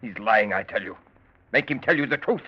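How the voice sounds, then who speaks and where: An actor playing an anxious, insistent crooked doctor, emphatic and formal, in 1940s radio style. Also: male voice, on the low side, lively intonation, a little fast, slightly rough. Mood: indignant protest. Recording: muffled old radio broadcast.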